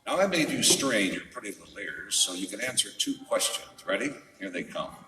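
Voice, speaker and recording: A man playing a world-weary, wisecracking private detective in a noir radio drama, speaking in a wry, deliberate way. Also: on the low side, big pitch swings, bright, forceful tone, faint music behind. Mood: sardonic, assured. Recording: echoey hall, distant mic.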